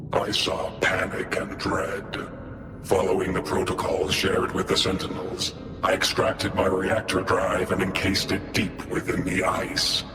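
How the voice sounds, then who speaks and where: An actor playing a stoic war machine in a video game, speaking in clipped, formal, monotone reports with robotic processing. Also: male voice, low voice. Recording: long hall reverb under loud music.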